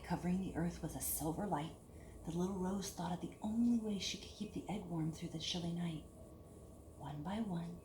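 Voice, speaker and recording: Echoey woman reading a children's story. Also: lowish voice. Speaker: storyteller reading a picture book aloud to children. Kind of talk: expressive children's story read-aloud. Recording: echoey room with clear background hiss.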